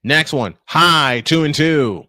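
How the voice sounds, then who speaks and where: A man's voice: fairly high pitch, animated, slow pace, sharp tone, no echo. Punchy male baseball announcer, dry close sound. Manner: punchy, clipped announcer phrasing. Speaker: play-by-play announcer and host. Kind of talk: live baseball play-by-play with casual chat.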